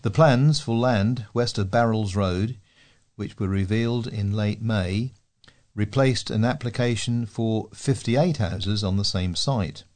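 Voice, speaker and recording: Lower-pitched man reading news. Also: takes it slowly, darkish tone. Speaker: volunteer newsreader.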